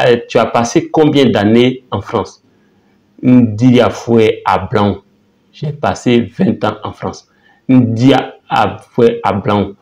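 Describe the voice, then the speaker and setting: A man teaching a language lesson in a casual, halting way, often repeating words and saying phrases slowly. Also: lowish voice, a little mellow. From Côte d'Ivoire.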